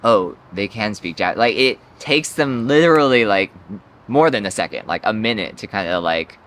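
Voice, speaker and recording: A man's voice: lowish voice, lively intonation, moderate pace, a bit bright. A young man hosting a casual interview, laid-back and slangy, with plenty of fillers and easy laughter. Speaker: interviewer and channel host.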